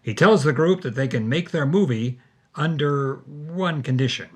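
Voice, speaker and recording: A man's voice: fairly high pitch, moderate pace, husky. A man hosting a storytelling podcast, delivering scripted narration.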